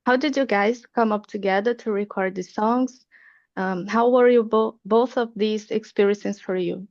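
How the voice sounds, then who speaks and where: A woman's voice: mid-range voice, steady tone, normal speed, darkish tone, dry recording, dull audio. A woman interviewer reading her questions carefully and evenly.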